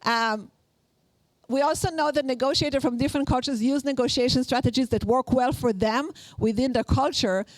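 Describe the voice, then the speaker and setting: A woman's voice: high voice, normal speed, no room sound, low-quality recording. A woman from Israel with an Israeli accent, giving a live workshop lecture on negotiation in an earnest, explanatory way with many fillers and restarts.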